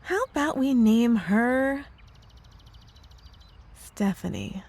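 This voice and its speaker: A woman performs a possessive young witch girlfriend from an ASMR audio drama. Her voice sits a little high and moves slowly, stretching words in a sugary coo. Underneath the sweetness runs a controlling, gaslighting menace. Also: expressive, darkish tone, slightly rough, exaggerated voice. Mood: playful, sinister.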